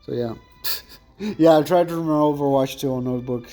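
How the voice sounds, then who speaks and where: A man's voice: on the high side, normal speed, warm tone, soft background music. A young man with a thin voice chatting casually and rambling while commentating on his gameplay, often correcting himself mid-sentence.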